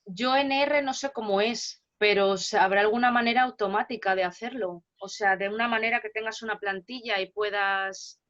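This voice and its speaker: A woman's voice: medium pitch, fairly bright tone. Woman, Spanish accent, guest lecturer, assured explanations, muffled lo-fi video call. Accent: Spain Spanish. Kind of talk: online seminar Q&A. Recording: dull lo-fi call audio.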